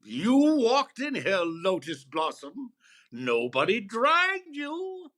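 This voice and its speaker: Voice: mid-range voice, huge pitch swings, a bit bright, exaggerated voice. A performer playing a sarcastic, insolent, mocking department store floorwalker in a 1940s-style radio comedy. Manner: mocking, drawn-out.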